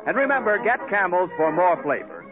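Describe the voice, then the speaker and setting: A man announcing a 1940s radio program in a formal, polished style, reading sponsor copy and a salute. His voice is fairly high. The sound is thin and tinny, like an old broadcast. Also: huge pitch swings, fairly quick, over music. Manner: smooth, formal announcer delivery.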